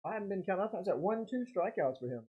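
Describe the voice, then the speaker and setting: A baseball play-by-play commentator with a slightly rough, husky voice at a middling pitch. The delivery is casual and folksy, drifting between live calls and relaxed conversation with short exclamations. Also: very fast, lo-fi sound.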